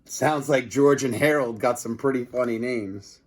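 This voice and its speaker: A man's voice: medium pitch, unhurried. A man reading a children's story aloud as narrator, with brief asides to the listeners. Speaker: storybook reader.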